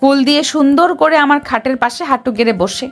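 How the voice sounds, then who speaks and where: A woman's voice: sits high, fast, faint music behind, lightly acted. A woman playing a feisty, sassy young officer in a romantic audio story, with a melodramatic manner. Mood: demanding, teasing. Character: feisty, dramatic young woman officer in love with her boss.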